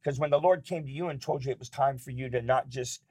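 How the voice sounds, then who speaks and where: A man's voice: mid-range voice, fast, darkish tone, breathy edge. A middle-aged man, a pastor and father, talking casually about faith with his adult daughter and telling family stories.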